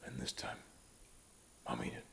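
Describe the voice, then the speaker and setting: An actor playing a stern but fatherly, world-weary sheriff in a western audio drama, with an American Southern drawl and a deliberate, folksy manner. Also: natural voice. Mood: commanding, dry. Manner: deliberate, folksy, swinging from hushed to booming.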